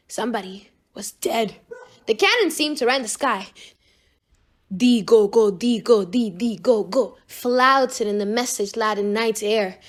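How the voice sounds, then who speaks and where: A woman narrating an audiobook in an expressive, dramatic storytelling style. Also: a little high.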